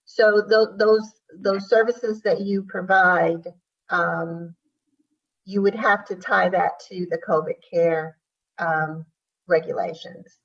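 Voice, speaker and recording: A woman official explaining things formally and haltingly at a public hearing. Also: lowish voice, steady tone. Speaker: city grants official answering questions. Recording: muffled audio, distant microphone.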